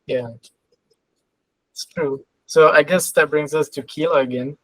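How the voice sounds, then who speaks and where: A man's voice: on the high side, steady tone, unhurried, a bit bright, no room sound, poor audio. A man hosting a podcast interview in a casual, conversational style.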